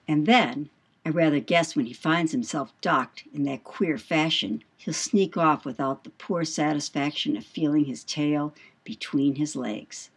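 A woman's voice: low-pitched, measured pace, breathy edge, exaggerated voice. A woman playing a brash, boastful male sailor in an audiobook, with salty, swaggering speech and emphatic questions. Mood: defiant, boastful. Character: brash, boastful male whaling mate telling devil yarns. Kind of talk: dramatised audiobook dialogue.

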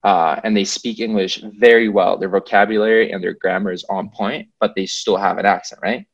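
A man's voice: fairly low pitch, steady tone, brisk, lo-fi sound. A young man telling an anecdote in casual conversation, repeating himself for emphasis. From Sweden. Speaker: podcast participant telling an anecdote. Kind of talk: casual chat, storytelling.

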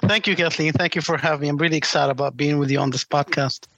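A man's voice: on the high side, fairly even intonation, fast talker, creaky, fairly bright tone, no echo, rough recording. Arabic-native man, dry close voice. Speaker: franchise sales executive. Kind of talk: interview answers.

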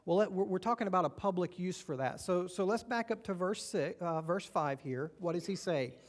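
A man's voice: high voice, animated, brisk. A man with a Southern American accent preaching a sermon to a church, talking in a conversational way and often asking the congregation questions. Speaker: pastor.

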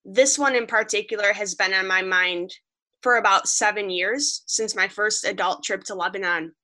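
Young woman guest, a reporter from upstate New York; earnest and vivid, a bright, forceful voice. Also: medium pitch, normal speed. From Western New York. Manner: earnest, vivid storytelling, quoting others. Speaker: freelance journalist guest. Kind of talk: podcast interview, storytelling.

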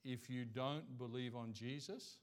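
A man's voice: medium pitch, steady tone, measured pace. A man from Australia with an Australian accent, a missionary preacher telling a story in a sermon, talking conversationally with lots of fillers and restarts.

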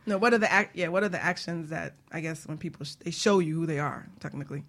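A woman's voice: low-pitched, quick pace, fairly bright tone. A woman on a talk show panel, chatting casually in a teasing, playful way. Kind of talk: casual banter.

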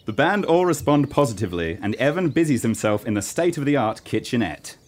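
A man's voice: medium pitch, very animated, fairly quick. A man narrating a scripted comedy radio play in a mock-serious storytelling manner.

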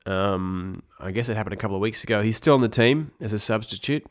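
A young man with a very low voice talks through a casual podcast. He sounds stuffed up from a cold. He rambles, backtracks and drops dry little asides. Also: quick pace. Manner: rambling, self-correcting, wry asides. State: congested with a cold. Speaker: podcast host. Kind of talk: casual esports news podcast.

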